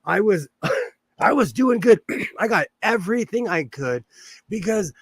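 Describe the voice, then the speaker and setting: Man, middle-aged, Southern Californian accent, slightly high voice, rambling livestream host, dry sound. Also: big pitch swings, unhurried, a bit bright, slightly rough, dry recording. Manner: rambling, chuckles often, greets viewers by name. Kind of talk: casual chat while sewing, reading chat.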